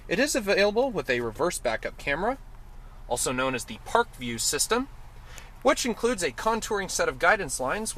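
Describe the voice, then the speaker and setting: Enthusiastic male car reviewer, fairly high and animated, faint outdoor hum. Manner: enthusiastic, detailed, occasional self-corrections. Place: inside and around a car, outdoors.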